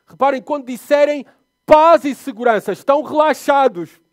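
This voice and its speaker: A young adult man with a European Portuguese accent preaching a sermon, telling a personal story with sharp swings between loud emphasis and quiet asides. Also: very high voice, normal speed, dry recording, clean studio sound, faint music behind. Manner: animated storyteller, voice rising and dropping sharply for emphasis. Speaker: pastor.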